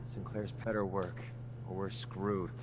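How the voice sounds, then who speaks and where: A man's voice: sits low, flat delivery, a little slow. A man playing an earnest, scrappy young mercenary in a video game, with a plainspoken, casual way of talking. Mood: tense, focused. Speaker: companion character in a video game. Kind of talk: video game dialogue acting.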